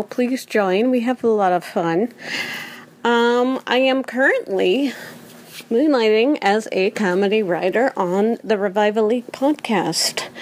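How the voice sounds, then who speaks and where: A woman records a spoken message for podcast listeners. Her pitch is average and her pace is slow. She measures out her sentences, pausing partway through them. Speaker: comedy writer, guest contributor.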